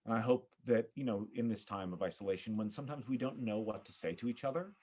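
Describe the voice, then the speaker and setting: Low-voiced man, earnest and thoughtful. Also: darkish tone, breathy edge. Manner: earnest, thoughtful, halting with repeated phrases. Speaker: guest, theater director. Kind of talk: reflective interview talk.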